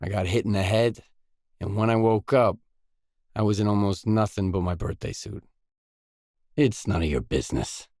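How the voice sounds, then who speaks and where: Low slow tough guy guy voice acting for a game, guarded dry mercenary, blunt, super close dry recording. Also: a little mellow, no echo, natural voice. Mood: guarded, low-key. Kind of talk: video game voice acting, isolated dialogue lines.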